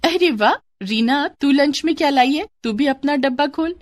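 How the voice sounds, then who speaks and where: A woman voicing a boastful, excitable well-off schoolgirl in a storybook tale, bubbly and showy. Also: high voice, normal speed, no echo, voice pushed up, close to an everyday voice. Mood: excited. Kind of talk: storybook character dialogue.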